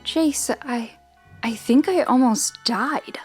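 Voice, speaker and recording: A woman's voice: a little high, takes it slowly, a little mellow, breathy edge, faint music behind. A woman playing a brave teenage girl space sidekick in a motion comic. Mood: shaken.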